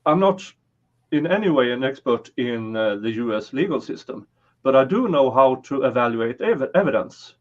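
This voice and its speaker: A man's voice: mid-range voice, animated, normal speed. A Swedish man with a Swedish accent hosting a casual livestream chat, talking hesitantly with many fillers and restarts. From Sweden. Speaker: livestream host. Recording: slightly dull lo-fi audio.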